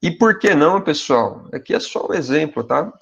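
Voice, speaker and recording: A man in his forties with a Brazilian Portuguese accent, a university professor giving conversational advice to graduate students. He speaks straight to his viewers and keeps tagging his sentences with quick checks for agreement. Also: fairly high pitch, animated, vocal fry, fairly bright tone, breathy edge, muffled recording. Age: 40s. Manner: speaks directly to viewers as "pessoal".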